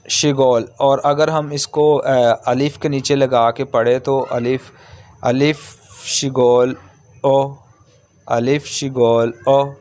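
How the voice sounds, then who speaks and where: A man giving an informal, step-by-step language lesson in a halting, repetitive way, explaining as a teacher does. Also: medium pitch, a little mellow. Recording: clearly noisy background.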